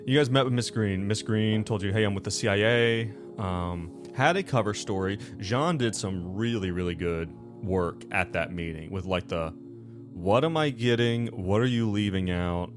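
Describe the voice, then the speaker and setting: Deep-voiced man hosting, rambling along over music. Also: moderate pace. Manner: rambling, self-correcting. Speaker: host and game master of a tabletop game show. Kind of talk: casual chat and recap.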